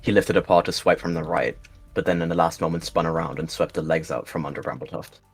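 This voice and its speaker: A man's voice: low-pitched, steady tone, fast, vocal fry, breathy edge, faint music behind. A man narrating his character's actions in a descriptive roleplay game.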